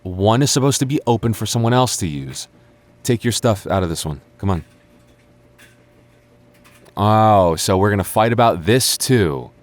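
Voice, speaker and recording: Male actor as sarcastic childhood friend, young adult, low-pitched voice, stubborn teasing, natural boyfriend-roleplay delivery. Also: expressive, moderate pace, pauses often, close to an everyday voice. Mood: irritated bickering. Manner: teasing, sarcastic, stammers when flustered.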